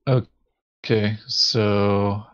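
A man's voice: on the low side, fairly even intonation, drawn-out words, fairly bright tone, clear, resonant tone, dull audio. A man chatting casually as he plays a board game, hesitantly thinking aloud. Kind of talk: casual game play chat.

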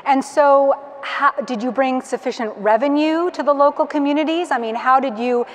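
Middle-aged female moderator, somewhat high voice, conference hall reverb. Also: normal speed. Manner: friendly, asks long multi-part questions. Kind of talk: onstage conference interview. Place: large hangar-like conference hall with audience. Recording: long reverb of a large hall.

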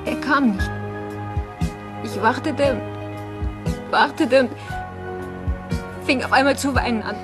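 An actress playing a fragile, bitter young woman in a crime radio play, confessing in a halting, emotional way. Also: high voice. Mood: desperate. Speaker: actor. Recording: music under the voice.

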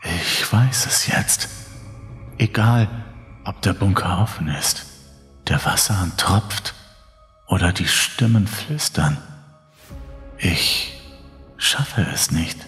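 A man voicing a desperate survivor losing his mind alone in a bunker, halting and anguished, in a horror story. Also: low-pitched, expressive, fairly bright tone, heavily acted. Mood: despairing. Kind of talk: German horror audiobook narration. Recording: long reverb over background music.

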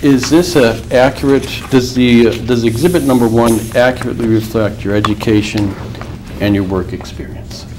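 A man questioning a witness as an attorney in a formal deposition, measured with filler pauses. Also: mid-range voice, fairly even intonation, noisy background.

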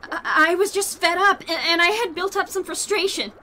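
Woman acting a high, pleading, cowed employee. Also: normal speed, bright, forceful tone. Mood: desperate pleading. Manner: pleading, stammering. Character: desperate, cowed female employee begging her boss. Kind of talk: roleplay audio drama. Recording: noisy, ambient background.

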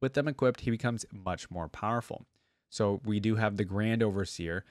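A man's voice: on the low side, moderate pace, a little mellow, no echo, studio quality. A man hosting a reaction video, reading aloud and breaking off for casual, exclaiming asides.

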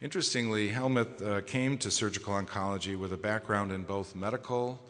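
A very low-pitched man addresses a large conference hall from a podium microphone, his voice carrying a long reverberant tail. He speaks in a formal, measured way, often pausing on hesitation fillers as he presents awards. The sound is that of an echoey hall. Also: a bit bright. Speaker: society president presenting awards. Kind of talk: formal award ceremony speech.

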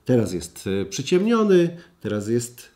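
A man in his fifties sits at a table in his living room and talks viewers through a gadget review. His voice sits at an everyday middle pitch, and he keeps up a chatty, direct manner dotted with filler sounds. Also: sing-song, a little slow, dark tone. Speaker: tech reviewer. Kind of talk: product review talking to camera. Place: living room at home, indoors.

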